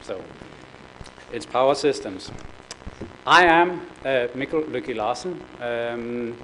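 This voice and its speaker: A man's voice: mid-range voice, animated, slow, a bit bright, a little hiss or hum, rough recording. Danish man giving a hesitant business talk. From Denmark. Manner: hesitant, many fillers and repeated words. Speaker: conference speaker. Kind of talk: business presentation. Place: conference room.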